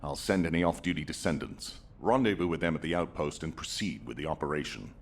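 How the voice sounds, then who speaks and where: An actor playing an authoritative, businesslike commander in a video game, giving decisive, commanding delivery. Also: male voice, rumbling low. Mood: urgent, commanding. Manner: commanding, brisk.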